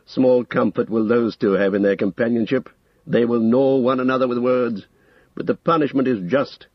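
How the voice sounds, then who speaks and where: A man's voice: medium pitch, steady tone, normal speed, no room sound, highs cut off, close to an everyday voice. A man voicing a stern, weary old male wizard who speaks with commanding authority in an audiobook story. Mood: gruff, measured.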